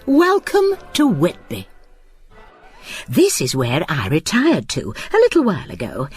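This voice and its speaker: A woman's voice: low voice, wildly swooping pitch, unhurried, breathy edge, faint music behind, exaggerated voice. An actress playing a cheerful retired landlady who narrates her own story in a radio drama, cosy and wry. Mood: confiding. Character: Brenda, a cheerful retired seaside landlady with a secret. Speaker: narrator and lead character.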